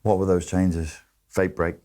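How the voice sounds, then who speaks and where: Male host, very deep voice, casual interviewer who asks probing questions and makes dry jokes. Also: little pitch movement, unhurried, dark tone. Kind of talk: casual conversation.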